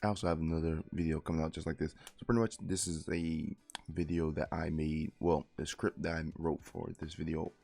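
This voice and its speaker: Male reviewer, just out of bed: very low, gravelly, loose and rambling delivery. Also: little pitch movement, fairly quick, warm tone. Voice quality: gravelly morning voice. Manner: rambling, self-correcting, informal. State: just woke up, voice deeper than usual. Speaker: light novel reviewer. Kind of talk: casual spoken book review.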